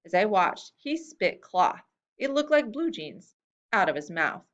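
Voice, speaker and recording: A woman reads a first-person story aloud, her pitch moving widely as she gives the quoted lines more lift. The recording sounds a little dull and lo-fi. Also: measured pace. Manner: expressive reading that lifts pitch for quoted lines. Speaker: reader. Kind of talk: storybook reading of a memoir-style tale.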